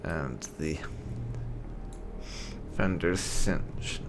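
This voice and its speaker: A man's voice: extremely low pitch, very slow, noisy background. A man giving casual, rambling roleplay commentary on a video game, explaining his choices in asides. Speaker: gaming video host. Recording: game music behind the voice. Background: video game music.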